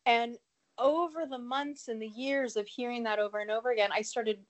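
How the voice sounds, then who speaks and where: A woman in her thirties with a cold, speaking as a podcast guest in an earnest, talkative way, with frequent fillers and quick self-conscious laughs. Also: a little high, fairly even intonation. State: has a cold, a bit sniffly. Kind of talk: conversational interview answer.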